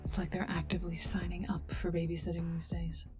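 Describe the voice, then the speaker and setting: A woman's voice: lowish voice, natural voice. A woman playing a haughty, prickly poisoner agent in an ASMR roleplay, dry and sarcastic, often dropping near a whisper. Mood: dry, teasing. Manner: dry, sarcastic, scornful, unhurried. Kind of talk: ASMR roleplay addressed to listener. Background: calm ambient game music.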